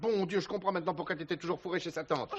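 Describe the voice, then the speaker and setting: An actor playing a gruff, earthy village father in a stage play, speaking colloquially and in an agitated way. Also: sits high, steady tone, very fast, muffled recording, close to an everyday voice. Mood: angry, scolding. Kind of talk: French stage play dialogue, naturalistic.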